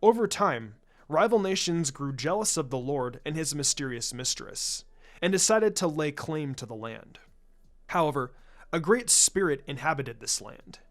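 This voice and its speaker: Expressive story narrator with lively, swooping pitch. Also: normal speed. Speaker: fan fiction narrator.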